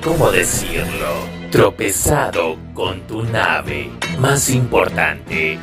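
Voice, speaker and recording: A man with a Latin American accent playing a smug, scheming robot crime lord in a cartoon dub, with theatrical, condescending, drawn-out menace. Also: deep voice, heavily acted. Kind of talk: dramatic dubbed villain monologue.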